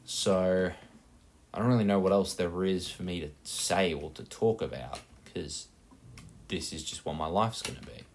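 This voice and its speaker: Aussie bloke yapping over minecraft, deep voice, rambly and self-deprecating, keys clacking. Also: male voice, normal speed, a little hiss or hum. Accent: Australian. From Australia. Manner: rambling, self-mocking, many fillers. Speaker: gaming channel host. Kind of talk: casual gameplay chat.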